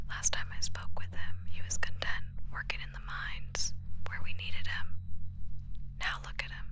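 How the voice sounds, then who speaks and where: A man playing a smug, menacing enforcer in a whispered sci-fi ASMR roleplay, taunting and insinuating as he speaks. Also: close to an everyday voice. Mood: stern, threatening. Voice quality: low, gravelly whisper.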